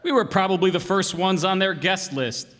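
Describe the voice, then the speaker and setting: A man's voice: high-pitched, moderate pace, fairly bright tone. A man playing a vain, folksy, slangy husband and father in a radio comedy re-creation. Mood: boastful, breezy. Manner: boastful, breezy. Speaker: radio player. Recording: reverberant hall, live stage performance.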